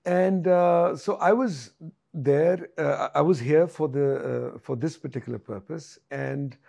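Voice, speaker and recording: Gravelly, husky-voiced man, halting. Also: on the high side, warm tone. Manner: halting, many fillers and restarts. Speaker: singer and composer, guest. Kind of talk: reflective interview talk.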